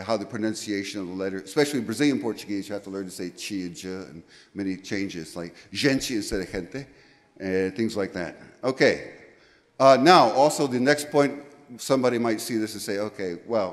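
A middle-aged man from the United States giving a conference presentation into a handheld microphone, rambling and correcting himself, often checking in with "okay?". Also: on the low side. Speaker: conference speaker. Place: large lecture room, indoors. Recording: reverberant hall.

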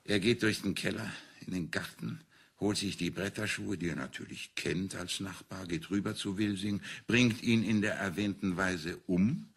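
An actor playing a shrewd priest and amateur detective in a German radio crime drama, explaining deliberately and with self-assurance. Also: male voice, sits low, creaky, slightly rough, full character voice. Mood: assured, triumphant.

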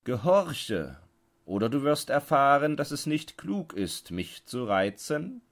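A man's voice: mid-range voice, very animated, takes it slowly, voice pushed up. A man voicing a condescending, domineering dog owner with an angry temper in a dramatised storybook reading. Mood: stern threat. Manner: condescending, commanding, sharply insistent.

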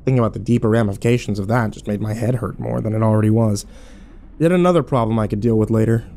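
A man playing a weary, sarcastic survivor, mellow voice. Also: on the low side, fast talker, a little hiss or hum, close to an everyday voice. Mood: wry, weary. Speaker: actor and narrator. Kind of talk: first-person audio drama narration and dialogue.

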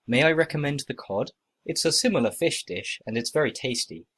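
A man acting out short scripted everyday dialogues for a listening test, with plain, expressive conversational reading. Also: medium pitch, brisk, breathy edge.

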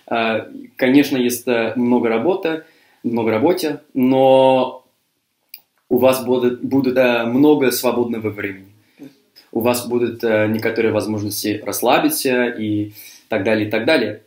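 Young man, from Cyprus, native English speaker, university student, halting with fillers, mid-pitched voice, echoey room. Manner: hesitant, many fillers and restarts. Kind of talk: interview answers in a learned language.

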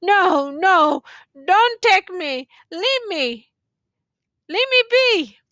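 A woman's voice: very high voice, animated, extremely slow, a bit bright, no room sound, voice pushed up, full character voice. A woman voicing a dying tall male soldier who begs in a rustic drawl, then stubbornly refuses help. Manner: rustic drawling dialect, begging.

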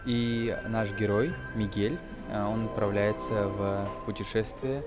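Low-voiced young man teaching English, music behind. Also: unhurried. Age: 20s. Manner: hesitant, explaining phrase by phrase. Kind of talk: informal film-based language lesson.

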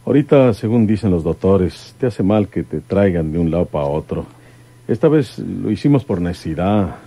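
An actor playing a plain-spoken, protective country detective in a radio drama, with a husky voice and rural northern Mexican accent. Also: male voice, low voice, expressive, normal speed, mellow. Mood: reassuring. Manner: folksy country talk.

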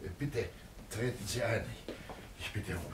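An actor in a radio crime drama playing an anxious, evasive, sickly middle-aged father, halting and flustered as he talks. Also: lowish voice, a little hiss or hum, lightly acted. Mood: nervous, flustered.

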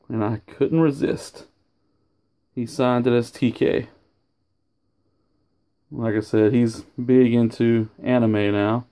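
A man's voice: mid-range voice, warm tone, lo-fi sound. A man casually showing off his autograph collection at home, rambling and often losing his train of thought. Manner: rambling, loses track, self-corrects. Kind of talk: casual home video show-and-tell. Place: indoors at home, over a sofa.

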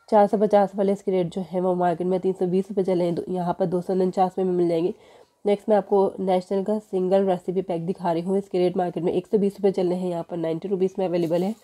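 A woman, walking through supermarket sale prices in a casual vlog, filming a poster on her phone in a store. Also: mid-range voice. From Pakistan. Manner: steady price listing with filler "jo hai". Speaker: shopping vlogger. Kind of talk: casual product walkthrough. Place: indoors in a supermarket.

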